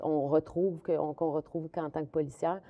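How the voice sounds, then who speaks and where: A woman's voice: deep voice, steady tone, moderate pace, muffled recording. A middle-aged woman with a Québécois French accent, a community police officer explaining her career steadily, with many fillers and restarts. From Quebec, Canada. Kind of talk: interview answers.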